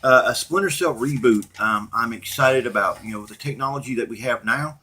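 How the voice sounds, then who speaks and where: A middle-aged man with a husky voice, talking casually and off the cuff as a blunt, profane, opinionated gaming channel host. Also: medium pitch, moderate pace, sharp tone. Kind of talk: casual unscripted rant and commentary to viewers.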